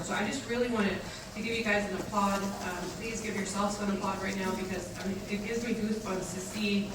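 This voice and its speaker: A woman in her forties from Texas gives a casual, upbeat talk to a live audience, with many ums and quick asides. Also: medium pitch. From San Antonio, Texas. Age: 40s. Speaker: keynote speaker, nonprofit executive director. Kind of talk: casual public talk to a gathering. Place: event venue with a live audience. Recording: echoey, distant mic, loud background noise.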